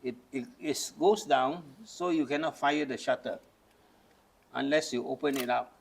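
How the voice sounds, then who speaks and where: Gravelly older Malaysian-raised man. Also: mid-range voice, normal speed. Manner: patient, explains step by step with hands-on demos. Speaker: camera collector. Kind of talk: casual show-and-tell demonstration.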